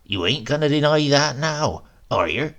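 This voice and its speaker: A man voicing a gruff, unrepentant old countryman who tells tall tales in thick rustic speech. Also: on the high side, lively intonation, normal speed, fairly bright tone. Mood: gruff defiant. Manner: dialect-heavy, crusty, grumbling. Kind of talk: storybook reading.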